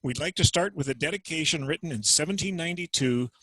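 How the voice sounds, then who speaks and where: A man introducing and narrating a dramatic reading over a video call, in a measured, explanatory way. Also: on the high side, sharp tone, slightly rough, no room sound, rough recording.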